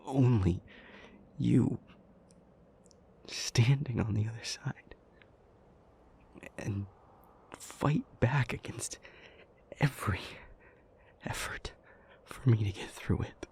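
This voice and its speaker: A man playing an intense, anguished demon in an intimate audio roleplay, speaking in breathy, halting, deliberate phrases. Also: mid-range voice, darkish tone, a little hiss or hum. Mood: anguished, indignant. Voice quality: breathy, mostly whispered. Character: intense, anguished male demon, brooding and reasoning aloud.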